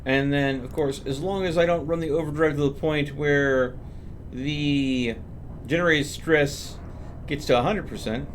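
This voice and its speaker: A man's voice: on the high side, steady tone, measured pace, slight background noise. A man streaming a video game, explaining what happens on screen as he plays. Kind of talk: live gameplay commentary, explanatory.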